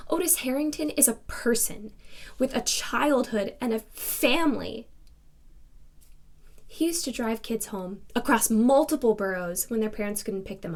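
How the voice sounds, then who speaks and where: Casting: woman to play indignant young woman in contemporary drama, slightly high voice, confrontational and articulate. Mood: indignant, accusing. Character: defiant, indignant twenty-two-year-old woman confronting a reporter.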